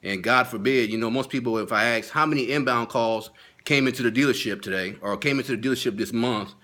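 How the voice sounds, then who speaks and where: A man's voice: mid-range voice, fast talker, bright, forceful tone. A man speaking as a sales training consultant in an informal video lesson, stressing and repeating his key points. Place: at home.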